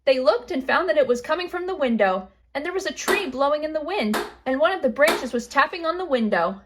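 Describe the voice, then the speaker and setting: A woman with a high, light voice narrates a children's story. She reads it out as a simple storybook bedtime tale. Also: expressive, brisk, background noise.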